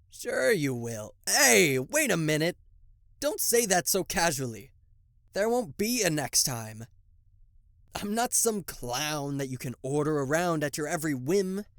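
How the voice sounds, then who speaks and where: A man's voice: fairly high pitch, fairly bright tone, dry recording, clean studio sound, exaggerated voice. A man playing a cocky, easily flustered king of hell in an ASMR roleplay, sarcastic, indignant and theatrical, speaking to the listener. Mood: grumbling, indignant.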